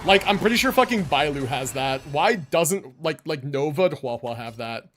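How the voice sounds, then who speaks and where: A man with a high, very animated voice is streaming a game. He talks casually, works out his moves aloud and answers chat, with plenty of slang and swearing. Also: moderate pace, a little hiss or hum. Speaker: game livestreamer. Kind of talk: casual gameplay commentary with chat replies.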